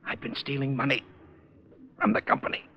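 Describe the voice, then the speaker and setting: A man playing a cornered embezzler and killer in a 1940s radio drama, tense and halting. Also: mid-range voice, expressive, slight background noise, close to an everyday voice. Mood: defeated, confessing. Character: cornered embezzler and killer, married male businessman, nervous. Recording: muffled old radio recording, faint music.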